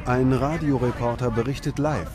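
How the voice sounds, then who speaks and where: A man reading scripted documentary narration in a measured, dramatic way. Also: medium pitch, fairly even intonation, a loud crowd, very noisy. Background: music bed.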